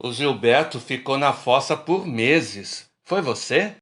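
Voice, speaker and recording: A man's voice: medium pitch, animated, slow, fairly bright tone. A man playing a cautious but teasing middle-aged old friend in a radio drama.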